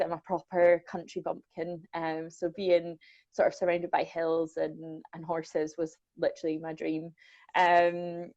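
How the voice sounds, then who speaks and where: A woman's voice: on the low side, darkish tone, no room sound. A young Scottish woman from the Borders, a welfare manager chatting casually and self-deprecatingly with lots of ums. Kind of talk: casual chat. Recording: dull lo-fi sound.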